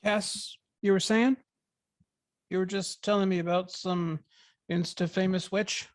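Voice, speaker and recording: Performer as weary, easygoing boyfriend, very unhurried casual speech, table-read drama. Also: medium pitch, fairly even intonation, natural voice. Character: Todd, weary easygoing boyfriend of a young witch.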